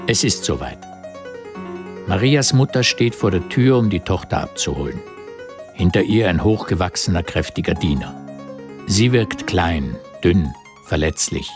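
An actor narrating a historical radio drama in vivid scripted present tense, with a husky voice. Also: male voice, sits low, animated, unhurried, over music. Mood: urgent, vivid. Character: male narrator of a historical story.

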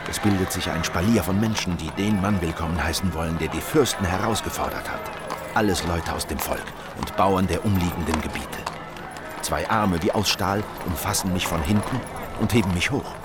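An actor playing a revolutionary who recounts his memories in measured, literary radio drama narration. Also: low voice, loud music behind the voice, close to an everyday voice. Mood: dramatic recollection. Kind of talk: scripted first-person radio drama narration.

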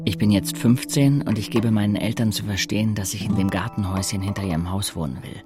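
Man as teenage first-person narrator, lowish voice, wry, reflective, measured, audio drama, music behind. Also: close to an everyday voice.

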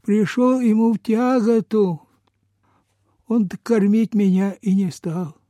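An actor playing a frail, humble old peasant beggar in a Soviet radio play, halting, deferential and folksy. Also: male voice, sits high, fairly even intonation, mellow, no room sound. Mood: weak, pleading. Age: older.

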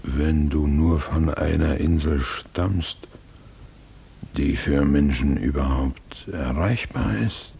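Very deep, gravelly old king. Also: takes it slowly, mellow, exaggerated voice. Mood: secretive, uneasy. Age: older. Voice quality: gravelly, husky. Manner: ponderous, solemn. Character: drunken old king, grave, brooding, ponderous host. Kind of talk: 1950s German radio drama acting.

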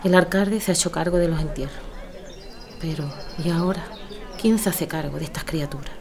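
A woman's voice: low-pitched, fairly quick, crowd noise. A woman playing a sorrowful, concerned neighbour in a radio drama.

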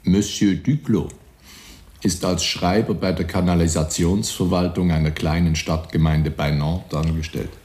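An actor playing a polite, confiding, wryly amused hotel manager in a German radio drama, with a deliberate delivery. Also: male voice, sits low, steady tone, darkish tone. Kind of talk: German radio play dialogue.

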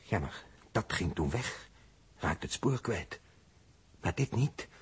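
Sceptical observer, Dutch accent, lowish husky voice, puzzled, vintage radio play. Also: male voice, very animated, takes it slowly, full character voice. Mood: doubtful, concerned. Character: doubtful, puzzled male fellow observer. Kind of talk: 1960s radio drama dialogue. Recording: rough 1960s radio recording.

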